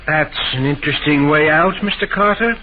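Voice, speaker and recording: A tinny old broadcast with faint hiss carries an actor playing an agitated male suspect. His high voice is defensive and emphatic, in the heightened style of a 1940s radio mystery. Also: normal speed, close to an everyday voice. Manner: protesting, emphatic.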